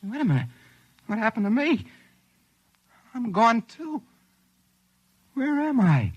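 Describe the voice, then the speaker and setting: An actor playing a brash, greedy, excitable man in a 1950s radio drama, speaking colloquially in an exclamatory, indignant way. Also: very high-pitched, slow, noisy background, heavily acted. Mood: frantic. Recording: old 1950s radio recording with music.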